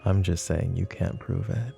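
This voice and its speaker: A man voicing a smug, flirtatious mafia boss who teases and taunts the woman questioning him, in soft-spoken roleplay ASMR. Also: low voice, moderate pace, vocal fry, soft background music. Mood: smug teasing. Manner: teasing, taunting, calls her pet names.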